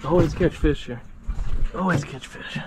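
A man fishing from a boat at night, talking excitedly and swearing as he fights fish, recorded on an action camera. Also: on the high side, normal speed, over music. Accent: Floridian American. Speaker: angler filming his fishing trip. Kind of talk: excited casual fishing chatter. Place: small boat on the water at night. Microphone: action camera worn on the body. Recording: noisy outdoor action-camera audio.

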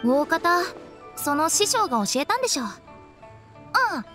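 A woman's voice: very high voice, very animated, unhurried, full character voice. An actress in a Japanese anime game playing a quirky, teasing young woman with a theatrical, sing-song way of telling things. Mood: breezy storytelling. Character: playful, quirky young woman running a funeral parlor. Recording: faint music behind the voice.

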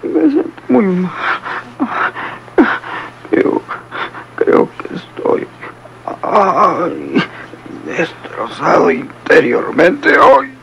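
A man's voice: sits high, wildly swooping pitch, drawn-out words, creaky, long pauses, a bit bright, full character voice. An actor playing a badly wounded, frightened man who is weak and in pain, gasping and repeating himself in an old radio drama. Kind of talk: 1950s-style radio drama acting. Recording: dull, noisy old radio recording.

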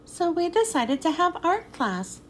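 A woman reading a children's picture book aloud in an expressive storytelling manner, then thanking her listeners. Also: very high-pitched, measured pace.

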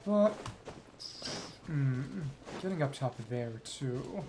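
A man's voice: medium pitch, animated, extremely slow, noisy background. A man streaming a video game, giving casual live commentary in short fragments, muttered to himself.

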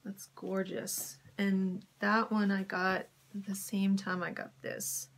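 A woman casually showing fabric in her home sewing studio, her voice crackly from a cold, often dropping to a soft whisper. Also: medium pitch, level tone, slow pace, gravelly. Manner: soft-spoken, drifts into whispers when admiring fabric. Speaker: sewing vlogger. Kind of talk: casual fabric haul chat.

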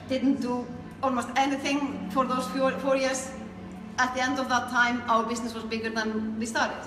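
A middle-aged woman from Iceland with an Icelandic accent, telling their own story conversationally as an interview guest. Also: fairly high pitch. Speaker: network marketer being interviewed. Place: small indoor room. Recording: echoey room, clear background noise.

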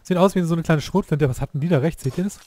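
A hoarse man giving casual, excitable commentary while playing a game, often exclaiming and swearing at what happens. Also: a little high, fast talker. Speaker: let's play youtuber.

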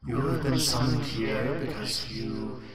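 A man's voice: medium pitch, flat delivery, far from the mic, over a noisy crowd. A man voicing an inhuman, godlike being in a tabletop game, solemn and formal, with an echoing, layered effect. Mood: solemn, commanding. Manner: slow, solemn, formal proclamation.

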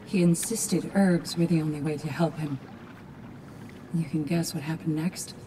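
A woman playing a solemn, reflective guide in a video game, confiding her story in a calm, measured manner. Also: sits low, slight background noise. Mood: solemn reflection. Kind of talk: dramatic video game acting.

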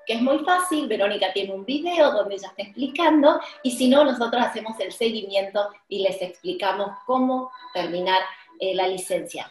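A woman's voice: a little high, a little hiss or hum. Argentine woman on a roomy video call. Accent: Argentine Spanish. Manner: warm, earnest, frequent "eh" pauses. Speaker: wedding officiant. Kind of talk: promotional video call chat. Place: indoor room with tiled wall, flowers and candle. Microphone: video call webcam.

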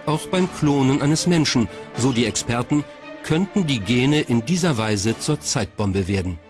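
A man's voice: medium pitch, animated, measured pace. A man reading scripted documentary narration as the narrator. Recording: music behind the voice. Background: background music.

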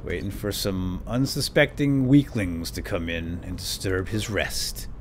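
A man's voice: deep voice, measured pace, slight background noise. A man giving playful, theatrical, lightly role-played video game commentary, talking to his companion as he plays. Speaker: gaming video host. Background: game ambience and effects.

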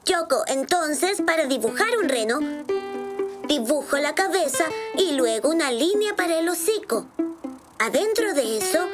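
A woman voicing a cheerful little boy rabbit in a children's cartoon, clearly and kindly explaining how to draw, with music behind. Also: sits high. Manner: clear, instructive, friendly. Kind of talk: children's cartoon dub, explaining drawing steps.

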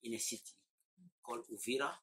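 A man in his forties from eastern Congo speaks in a bright, forward voice at a middle pitch. He explains things patiently in an oral history interview and pauses on fillers as he thinks. He is recorded indoors on a lapel mic. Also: steady tone. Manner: thoughtful, explanatory, with hesitant fillers. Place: indoor room.

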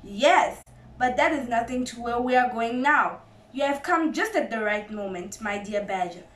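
A woman voicing a sly, confident, gallant father fox in a storybook, with assured, courtly and deliberate phrasing. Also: on the high side, lively intonation, a bit bright, roomy sound, far from the mic.

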